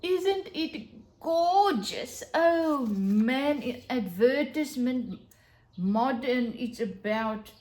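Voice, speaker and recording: A woman's voice: high-pitched, animated, very slow, darkish tone. A middle-aged South African woman whose first language is Afrikaans, chatting to camera in a gushing, enthusiastic way about things she has found. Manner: gushing, enthusiastic, exclaiming over small finds. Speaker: vlogger. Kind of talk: casual chat to camera.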